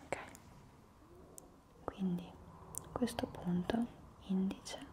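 A young woman in an ASMR roleplay as a palm reflexology therapist, whispering breathily with polite, soothing, unhurried instructions. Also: mid-range voice, steady tone, mellow. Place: small indoor room at a table. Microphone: lavalier microphones close by.

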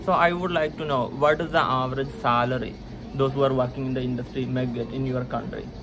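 A man's voice: medium pitch, fairly even intonation, low-quality recording. Young man asking polite questions. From India. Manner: polite, slightly halting questions with fillers. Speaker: vlog host interviewing a colleague. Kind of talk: casual interview questions. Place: indoor hotel lounge.

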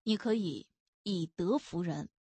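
Young male constable, played by a woman: good-natured, dry, matter-of-fact, slightly husky, historical audiobook. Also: fairly low pitch, a little slow, mellow, dry recording, voice pushed down. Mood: dry teasing.

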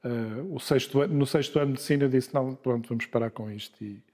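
A man in his sixties from Lisbon, telling his life story in a relaxed interview, pausing often with filler sounds. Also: medium pitch. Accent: Lisbon Portuguese. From Portugal. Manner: unhurried, hesitant with many filler sounds and restarts. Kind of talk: conversational interview. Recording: long hall reverb.

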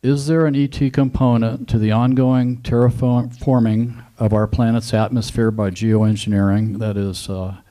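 A man's voice: medium pitch, flat delivery, normal speed, few pauses, dark tone. A man from the audience introducing himself and asking the panel a question, polite and slightly hesitant.

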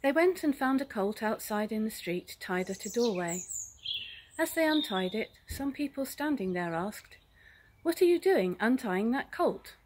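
Higher-voiced older Englishwoman reading scripture outdoors. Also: big pitch swings, a little slow. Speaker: Bible reader. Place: outdoors in a garden. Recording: faint outdoor noise with birdsong. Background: birdsong.

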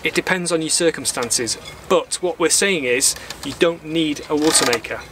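Higher-pitched man presenting outdoors. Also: normal speed, a bit bright. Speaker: sailing channel host. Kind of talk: instructional vlog to camera. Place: outdoors on a small boat in a marina. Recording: outdoor marina background noise.

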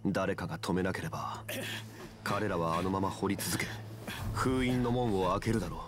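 A man voicing a composed, ancient male consultant in a game, speaking in a calm, measured and formal way. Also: low voice, close to an everyday voice. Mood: grave. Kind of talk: game voice acting. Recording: game audio with music behind.

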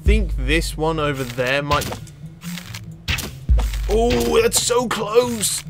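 A man hosts a gaming video in a fairly high voice, talking at an unhurried pace. His commentary is casual and exclamatory, often addressed straight at the characters in the game. Clear game music plays behind him. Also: big pitch swings. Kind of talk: casual let's-play commentary.

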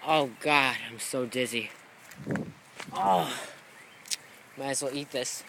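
A man's voice: mid-range voice, slow pace, gravelly, background noise. A young man making a playful home vlog, acting out a video game with dramatic exclamations and claims of feeling dizzy. He films himself with a handheld phone, moving through a backyard and a house. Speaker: vlogger. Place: backyard and house, outdoors and indoors. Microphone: handheld phone camera.

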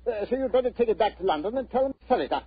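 An actor playing a flustered, eccentric country gentleman, hesitant and indignant, with a rough husky voice, in 1940s radio style. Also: high-pitched, expressive, very fast, heavily acted. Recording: tinny, muffled old radio recording.

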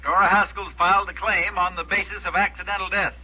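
An agitated businessman on the phone, firing urgent, puzzled questions. His voice is high, very sharp and a little raspy, filtered through the line in a 1950s drama. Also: flat delivery, fast, exaggerated voice. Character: agitated, puzzled male insurance company man on telephone. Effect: telephone filter. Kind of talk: 1950s radio drama acting. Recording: dull old radio, phone-filtered voice.

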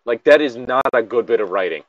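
A man's voice: mid-range voice, expressive, a little fast, no room sound. A man reading a story aloud and bantering casually about it as he goes. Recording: dull lo-fi microphone.

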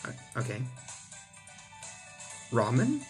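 Young adult male gaming host, mid-pitched, casually reading story text aloud with off-the-cuff remarks, music underneath. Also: huge pitch swings, drawn-out words, breathy edge. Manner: stumbles over text and rereads lines. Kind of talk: reading visual novel aloud with casual commentary. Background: game music.

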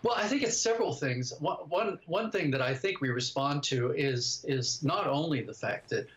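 A man's voice: on the high side, animated, normal speed, fairly bright tone. An older man, a guest on a video call, giving thoughtful interview answers. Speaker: guest writer and director. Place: home study indoors, over video call. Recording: dull video-call audio.

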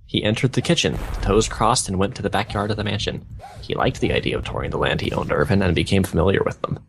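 A young man with a lowish, creaky voice reads fan fiction aloud. He trips over clumsy wording and steps out of the text, bemused. Faint hiss and clicks sit on the home recording. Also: expressive, fast talker. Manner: stumbling over garbled text, often breaking off bemused. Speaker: fan fiction reader on a gaming video. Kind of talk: reading a story aloud with amused asides.

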